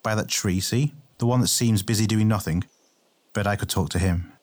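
Man voicing a brash, husky young warrior. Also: on the low side, lightly acted. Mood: cocky. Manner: blunt, quick, excitable. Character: brash, hot-tempered young male warrior, impulsive and suspicious. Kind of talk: acted audiobook dialogue.

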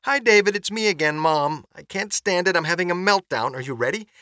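A man voicing an excitable, chatty, flustered middle-aged mother in an audiobook, gushing and rambling through phone messages. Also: high-pitched, a little fast, dry recording, clean studio sound, voice pushed up. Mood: giddy, excited. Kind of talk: audiobook character voice.

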